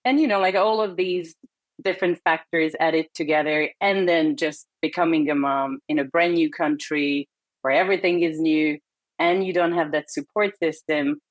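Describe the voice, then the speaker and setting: Low-voiced woman with a Hungarian accent. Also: steady tone, moderate pace, no echo. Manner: candid, reflective, with hesitant fillers. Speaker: podcast guest. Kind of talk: casual interview chat.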